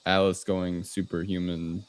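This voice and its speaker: A man's voice: low voice, flat delivery, unhurried, slight background noise. A man co-hosting a podcast, casually recapping a film in conversation. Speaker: podcast co-host. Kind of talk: casual movie recap discussion.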